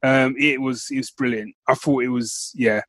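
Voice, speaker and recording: A man's voice: medium pitch, fairly even intonation, normal speed, fairly bright tone, dry recording. A man co-hosting a podcast, chatting casually as he reviews shows, choosing his words carefully and repeating phrases for emphasis. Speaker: podcast co-host.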